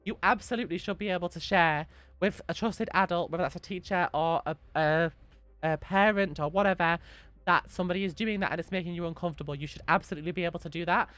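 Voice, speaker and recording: Gentle, slightly hoarse woman streamer. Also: lowish voice, fast, dry recording. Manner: gentle and reassuring, repeats key advice. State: slightly hoarse from being sick. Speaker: streamer answering chat questions. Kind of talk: casual advice chat on a live stream.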